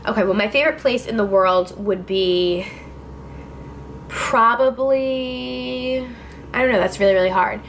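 A woman's voice: on the high side, a little slow, vocal fry, fairly bright tone, slight background noise. A twenty-year-old woman from New Jersey answering viewer questions in a casual vlog, talking to a close camera in a small room. Her talk is chatty and full of "like" and "I don't know". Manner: chatty, filler-heavy, lots of "like" and "I don't know". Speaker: beauty vlogger. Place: indoors, small room with clothes rack behind. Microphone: camera facing her at close range.

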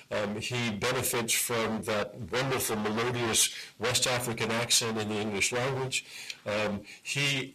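A middle-aged man lectures to a university audience, unhurried and deliberate, often pausing on "um" before going on. His voice is husky, with a bright, forceful edge. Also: on the low side, low-quality recording. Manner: measured, many "um" pauses. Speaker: guest speaker, journalist.

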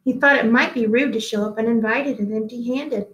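Higher-voiced woman reading aloud, echoey room. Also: lo-fi sound. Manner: deliberate, expressive storytelling with questions to listeners. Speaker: read-aloud host, teacher. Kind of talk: picture book read-aloud with asides to children at home. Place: small indoor office-like room.

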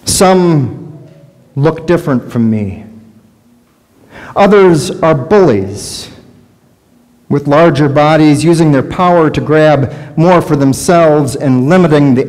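A man's voice: fairly high pitch, takes it slowly, long pauses, a little mellow. A middle-aged man voicing a skittish, fearful sheep in a first-person sermon monologue, recorded in a large church sanctuary. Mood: anxious. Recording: long church reverb.

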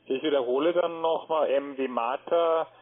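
A man's voice: on the high side, normal speed. A man working as a call center agent, polite and hesitant, full of fillers as he repeats details back. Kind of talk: phone call. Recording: phone-line audio.

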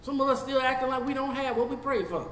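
A man in his fifties with a Southern US accent teaching a Bible study as pastor. He swings from shouting to low talk, recorded in a church sanctuary on a lapel mic. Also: fast, roomy sound, big-room sound. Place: church sanctuary, seated before a projection screen.

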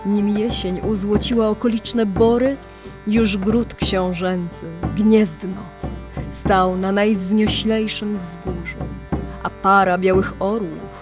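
A woman's voice: medium pitch, fairly even intonation, takes it slowly, music in the background. A woman narrating a legend as a solemn, dramatic storyteller in archaic, scripted language.

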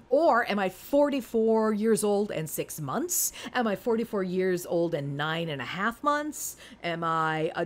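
A woman in her forties giving an informal step-by-step lesson as an instructor, conversational and emphatic, often checking in with rhetorical questions. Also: on the high side. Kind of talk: informal screen-recorded lesson, explaining step by step.

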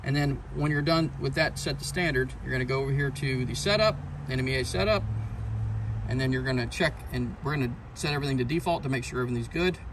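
Man, middle-aged, American accent, medium pitch, casual how-to delivery, plain step-by-step explaining, noticeable background noise. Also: fast talker. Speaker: presenter of a do-it-yourself boat video. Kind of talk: casual hands-on tutorial. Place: on and around a boat outdoors, and at a workbench.

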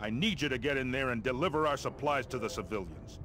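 An actor playing a gruff, impatient middle-aged American army colonel in a video game, briefing in a blunt, commanding, wry way. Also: male voice, mid-range voice, fast talker, fairly bright tone, slight background noise, lightly acted. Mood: businesslike, urgent. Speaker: military officer giving mission briefings.

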